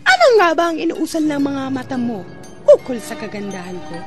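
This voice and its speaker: A woman's voice: sits high, huge pitch swings, brisk. A woman playing a vain, jealous and cruel sultana in an epic drama, haughty and imperious in her theatrical delivery. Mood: smug, vain. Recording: music under the voice.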